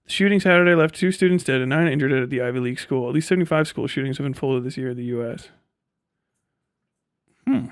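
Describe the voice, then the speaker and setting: A man's voice: mid-range voice, fast talker, clear, resonant tone, no room sound, clean studio sound. A man chatting casually as a live streamer, rambling and sardonic, reading things aloud and swearing offhandedly.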